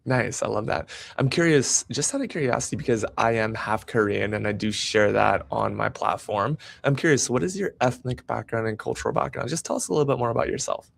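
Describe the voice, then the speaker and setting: An upbeat man hosting a live interview show, eager and promotional as he introduces guests. His mid-pitched voice carries a gravelly, creaky texture. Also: brisk, hardly any pauses, no echo. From United States. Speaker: podcast host.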